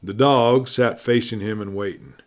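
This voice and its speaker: A man reading a classic adventure story as a dramatic audiobook, with measured, emphatic phrasing that often falls away softly at the ends of sentences. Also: on the low side, fairly even intonation.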